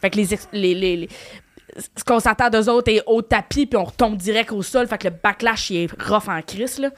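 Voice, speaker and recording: A woman's voice: medium pitch, normal speed. A young woman, a comedian guest chatting casually, slangy and mixing in English words. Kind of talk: casual chat.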